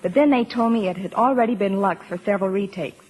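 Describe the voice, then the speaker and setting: A woman's voice: medium pitch, fast, darkish tone, slightly rough, low-quality recording. A woman giving scripted guest chat as an actress on a 1940s radio programme. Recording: old radio broadcast recording.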